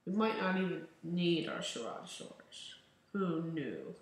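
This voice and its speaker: A young man talks viewers through his game in a loose, rambling way, drawing his words out slowly. His voice is wearing thin, as if he is losing it. The recording rings with heavy room echo. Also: fairly high pitch, wildly swooping pitch, gravelly. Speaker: gaming channel host. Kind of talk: casual gameplay commentary. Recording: very echoey room.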